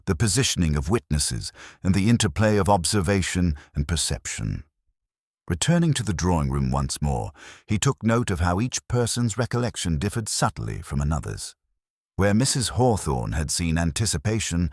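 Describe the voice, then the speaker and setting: Male narrator for scripted mystery fiction: very deep, expressive pitch movement, formal and measured, close dry sound. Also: no echo.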